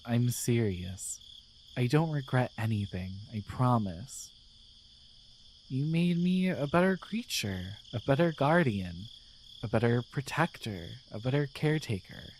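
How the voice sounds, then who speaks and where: A performer voicing a gentle, devoted guardian angel in a romantic ASMR roleplay, speaking tenderly and hesitantly with earnest pauses. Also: mid-range voice, a little hiss or hum, close to an everyday voice. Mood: earnest, reassuring. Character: gentle, devoted guardian angel, newly feeling, shyly in love.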